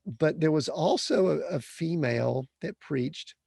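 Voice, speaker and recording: A man hosts a podcast conversation in a gravelly, fry-edged voice. He asks earnest questions that wander and restart. The sound is dry and close to the mic. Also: a little high, lively intonation, a little slow, darkish tone, no echo. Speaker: podcast host.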